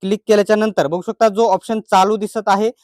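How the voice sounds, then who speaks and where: A man's voice: high-pitched, level tone, quick pace, darkish tone, dry recording. Marathi man giving dry-sounding tutorial narration. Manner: instructional, repeats each step. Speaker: tech tutorial presenter. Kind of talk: step-by-step phone tutorial narration.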